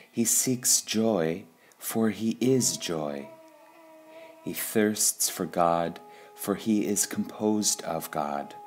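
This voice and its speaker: A man reads short spiritual sayings in a measured, deliberate devotional style, pausing between phrases. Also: low-pitched, a little mellow. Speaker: reader of spiritual quotations. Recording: music under the voice. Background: background music.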